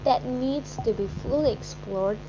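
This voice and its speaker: A woman's voice: a little high, unhurried. Young Indonesian woman reading a presentation. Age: young adult. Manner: steady, careful reading of a script. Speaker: student presenter. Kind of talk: read-aloud academic presentation. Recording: dull recording with clear background hum.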